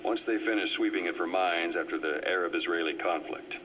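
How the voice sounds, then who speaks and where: An actor playing a calm, well-informed agent who briefs a comrade in a measured, explanatory way. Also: male voice, sits low, a little hiss or hum, natural voice. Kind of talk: video game cutscene voice acting. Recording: muffled cassette tape audio.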